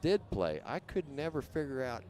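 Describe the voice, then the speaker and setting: An older man from Indiana with a low voice, chatting as a guest on a live show and telling rambling stories full of restarts. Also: big pitch swings, moderate pace. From Indiana, USA. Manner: warm, rambling storyteller with many restarts. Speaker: guest and longtime viewer. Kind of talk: casual chat. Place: lounge in a casino resort, live show.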